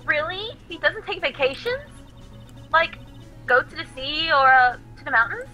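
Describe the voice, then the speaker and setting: A curious, excitable young sidekick girl, as a woman voices her for a game dub. Her voice is high, bright and forceful. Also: moderate pace, soft background music.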